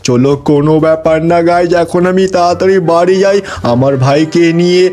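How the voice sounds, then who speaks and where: Guy doing an eager confused cartoon creature, high voice, keeps asking and exclaiming. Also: brisk, a little mellow, close to an everyday voice. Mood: eager, puzzled. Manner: exclamatory, questioning. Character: eager, puzzled cartoon creature searching for his brother.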